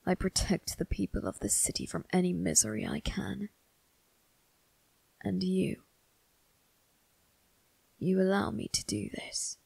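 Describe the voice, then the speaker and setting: A woman voicing a brooding, cold but protective young male vampire crime boss in an ASMR roleplay, speaking formally and deliberately in a raspy, breathy voice that dips into whispers. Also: fairly low pitch, sing-song, warm tone, no room sound, exaggerated voice, rough recording. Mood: intense, confiding. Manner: deliberate, formal, menacing, drawn-out phrasing. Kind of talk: ASMR roleplay, dramatic monologue addressed to listener.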